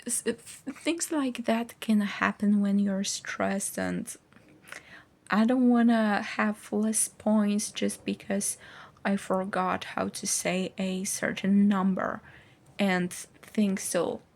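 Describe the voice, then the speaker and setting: A woman's voice: mid-range voice, steady tone, slow pace, darkish tone. A woman, a student vlogger chatting casually in a rambling, informal way. is her language. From Poland. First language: Polish. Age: early 20s. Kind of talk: casual chat.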